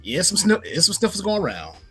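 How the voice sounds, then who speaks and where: A man's voice: high-pitched, huge pitch swings, a little fast, gravelly, music in the background. A man co-hosting a casual talk show, mostly giving brief, agreeable replies. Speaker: podcast co-host. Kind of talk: casual chat.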